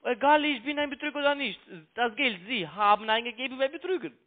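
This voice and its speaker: A man with a foreign accent in German, arguing on a phone call in halting, repetitive broken grammar. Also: very high-pitched, lively intonation. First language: not German. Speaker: phone scam caller. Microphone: telephone. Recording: tinny narrow phone line.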